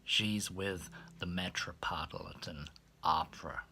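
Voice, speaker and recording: A man performs a flustered male entertainer in an audiobook, mortified and cornered. He answers in a low, subdued voice, terse and stiffly polite, clearly reluctant to talk. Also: fairly even intonation, slow, sharp tone, slight background noise, exaggerated voice. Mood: embarrassed. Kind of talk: audiobook character voice.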